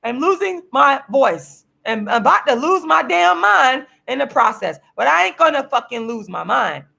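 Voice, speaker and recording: A hoarse woman in her forties rants at the camera, her high voice thick with gravel and fry. Her tone is bright and sharp, and she hammers the same points again and again, climbing into shouts. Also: big pitch swings, normal speed. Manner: heated, repetitive, preachy, now and then shouting. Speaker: vlogger and activist. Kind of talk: impassioned direct-to-camera rant. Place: seated at home signing books.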